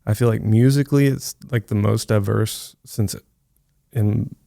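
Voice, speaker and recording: A man chatting casually on a podcast, upbeat and easygoing, saying "man" and answering with quick agreements. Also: on the low side, steady tone, vocal fry, warm tone, dry recording, clean studio sound.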